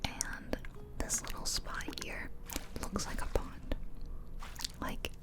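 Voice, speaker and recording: A woman speaking in a soft, breathy ASMR whisper close to the mic from ear to ear, rambling casually and gently over game footage. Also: slow pace. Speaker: ASMR video creator. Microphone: close ear-to-ear stereo microphone.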